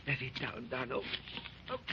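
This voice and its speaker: A man's voice: medium pitch, big pitch swings, moderate pace, close to an everyday voice. A man playing a determined adventurer in a 1930s radio drama, urgent and commanding. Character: Tom, determined adventurer hauling treasure. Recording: noisy lo-fi 1930s radio recording.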